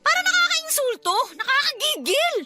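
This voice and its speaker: A woman's voice: very high voice, big pitch swings, normal speed, pushed and bright, soft background music, exaggerated voice. A woman playing a stressed, irritable young medical student and older sister in a radio drama, complaining emphatically. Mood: frustrated, complaining. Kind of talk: radio drama dialogue in Filipino.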